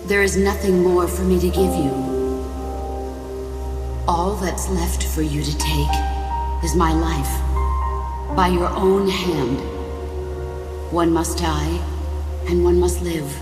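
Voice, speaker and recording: A middle-aged female soldier mentor from a video game, speaking slowly in a lowish voice. She is solemn, serene and commanding, drenched in echo. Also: steady tone, loud music behind the voice. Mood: solemn, resolute. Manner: slow, solemn. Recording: echoey, long reverb.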